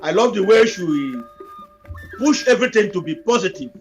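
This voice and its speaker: High-voiced man, earnest. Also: expressive, moderate pace, dull audio. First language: Igbo. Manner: earnest, repetitive exhortations. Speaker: interview guest. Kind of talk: remote interview. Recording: music behind.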